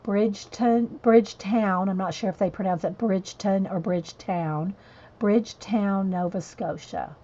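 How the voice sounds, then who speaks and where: A woman's voice: mid-range voice, unhurried, warm tone. A woman chatting casually while she colors on a livestream, rambling and answering viewers as she goes. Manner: chatty, rambling, reads chat messages aloud and answers viewers. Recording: dull lo-fi livestream audio.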